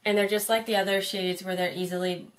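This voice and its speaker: A woman's voice: mid-range voice, steady tone, brisk, crowd noise. A young woman co-hosting a beauty vlog in casual chat, upbeat and giggly, chiming in often. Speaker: beauty vlogger co-host. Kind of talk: casual chat reviewing makeup.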